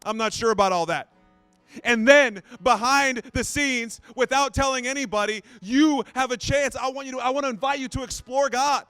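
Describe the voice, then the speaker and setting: Pastor, late 30s, high clear tenor, dry close mic, chatty and self-deprecating. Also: male voice, normal speed, pushed and bright, dry recording, soft background music. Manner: conversational, self-deprecating, repeats phrases. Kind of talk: sermon.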